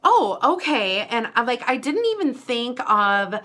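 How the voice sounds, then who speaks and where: An American woman vlogger telling a personal story casually, in a chatty, animated way with dramatic emphasis. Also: a little high, measured pace.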